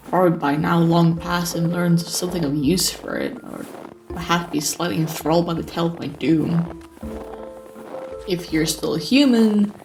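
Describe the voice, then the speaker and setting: Male actor as jaded post-apocalyptic survivor, sardonic, deliberate storytelling, sighs, music underneath. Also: a little high, creaky, rough recording. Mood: solemn, hopeful. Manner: wry, sighing, deliberate. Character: weary sarcastic male survivor narrating the apocalypse. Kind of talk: dramatic scripted narration. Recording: music behind the voice.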